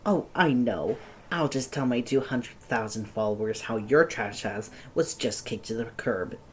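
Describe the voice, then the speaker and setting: Woman acting a very low, sarcastic young lover. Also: measured pace, a little hiss or hum, rough recording. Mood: mocking. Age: young adult. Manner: sardonic, biting. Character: sarcastic, mouthy young fiancé of the boss's son. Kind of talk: dramatic audio roleplay.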